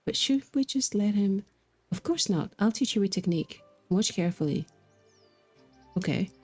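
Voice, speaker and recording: A woman's voice: medium pitch, lively intonation, moderate pace, a little mellow, husky. A woman livestreaming a video game, chatting casually and reading dialogue aloud in a musing, hesitant manner. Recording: music behind the voice. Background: game music.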